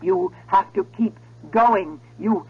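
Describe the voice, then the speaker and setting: Casting: ominous otherworldly voice, high-pitched and unhurried, urging and commanding. Vintage radio play. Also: male voice, slightly rough, exaggerated voice. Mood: ominous, insistent. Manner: slow, commanding. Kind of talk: 1970s radio drama acting. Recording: muffled old radio broadcast.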